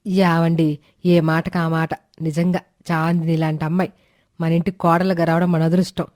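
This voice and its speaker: A woman's voice: on the low side, level tone, fairly quick, darkish tone, no room sound, lightly acted. A woman voicing an affectionate, proud middle-aged mother-in-law in a family story.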